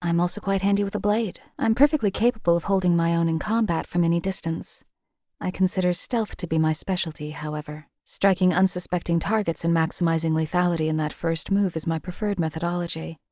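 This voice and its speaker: An actress playing a cold, detached female assassin companion in a game, speaking in a calm, clipped, deadpan way. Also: on the low side, a little fast, no room sound, studio quality, natural voice. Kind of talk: game voice lines.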